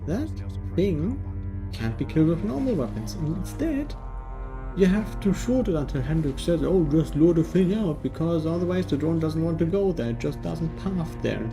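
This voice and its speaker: A man's voice: on the high side, big pitch swings, normal speed, over music. A man from Germany, narrating a casual game review in a dry, wry manner.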